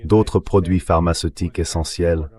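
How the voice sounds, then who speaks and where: A man's voice: low-pitched, fairly even intonation, normal speed, a little mellow. A man's synthetic AI dubbing voice delivering argumentative, emphatic political commentary as an interview guest. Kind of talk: dubbed voice-over of political commentary.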